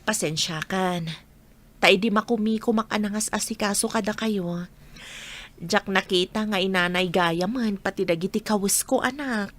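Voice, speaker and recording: A woman's voice: medium pitch, moderate pace, a bit bright, slight background noise, natural voice. A woman acting a weary, bitter single mother in a Filipino audio drama. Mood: regretful.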